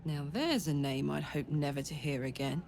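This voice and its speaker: A woman's voice: deep voice, lively intonation, moderate pace, darkish tone. An actress playing a tough, blunt female fighter in a video game, with a wry, sardonic manner. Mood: wary. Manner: wry, blunt, casual swearing. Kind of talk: video game character dialogue. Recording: constant noisy ambience behind voice.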